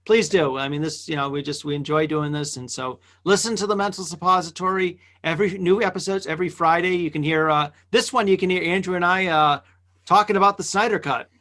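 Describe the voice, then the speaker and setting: A man hosting a casual group chat in a halting, conversational way. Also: high voice, bright, forceful tone. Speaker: podcast host.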